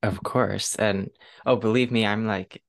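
A woman's voice: extremely low pitch, steady tone, a little slow. A woman hosting a casual interview, speaking informally and with enthusiasm in loosely phrased sentences. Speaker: podcast host.

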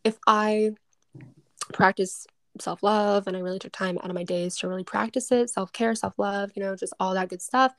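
A woman in a casual chat, quick with affirmations and supportive remarks. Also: mid-range voice, steady tone, no echo.

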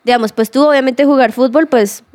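A high-pitched woman hosting a studio radio show. She teases her co-hosts, laughs easily, and keeps pushing the questions along. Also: fairly even intonation, a little fast, darkish tone. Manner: teasing, laughs often, leads the questions. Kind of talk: playful studio banter.

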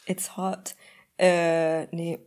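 A woman co-hosting a casual podcast, relaxed and low on energy. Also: drawn-out words, smooth. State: feeling cold. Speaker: podcast co-host.

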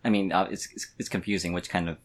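Deep-voiced man musing casually. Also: little pitch movement, fast. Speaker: podcast co-host. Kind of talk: casual fan discussion.

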